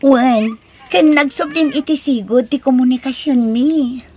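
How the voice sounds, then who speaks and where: High-voiced Ilocano young woman, acted by a woman. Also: unhurried, darkish tone, breathy edge. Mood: happy. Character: affectionate young woman missing her cadet boyfriend. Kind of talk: Ilocano radio-style audio drama acting.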